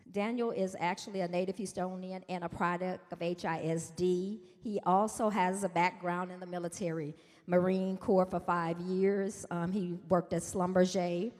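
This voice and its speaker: A woman emceeing an event, introducing the next speaker in an informal, slightly hurried way. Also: fairly low pitch, darkish tone. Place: school auditorium. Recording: long reverb in a hall.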